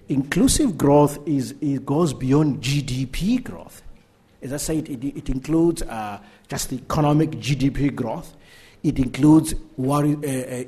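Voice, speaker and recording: A middle-aged man giving a talk and answering questions, halting often and repeating words. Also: on the high side, darkish tone. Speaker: guest speaker. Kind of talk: lecture and Q&A answers.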